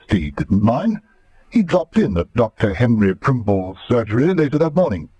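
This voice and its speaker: A man's voice: mid-range voice, sing-song, normal speed, mellow, husky, no room sound, muffled recording. A man narrating a scripted vintage radio drama in an urbane, wry manner.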